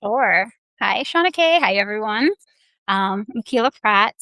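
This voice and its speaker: A woman's voice: mid-range voice, expressive, normal speed, sharp tone, no echo, rough recording. A young woman from the Bahamas and Barbados, answering interview questions earnestly with many hesitant fillers. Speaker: guest, anesthesiology resident.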